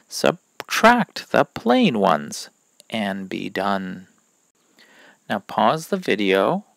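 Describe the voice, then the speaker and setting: A man reading a rhyming children's picture book aloud in a deliberate, instructive manner. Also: on the low side, gravelly, fairly bright tone, no echo. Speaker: read-aloud reader.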